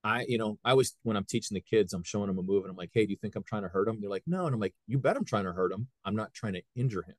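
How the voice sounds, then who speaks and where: A man in his fifties from Ohio, a high school wrestling coach who talks earnestly in a casual podcast chat and often acts out what people said. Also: expressive, racing, hardly any pauses, no echo. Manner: earnest, retells scenes by quoting people.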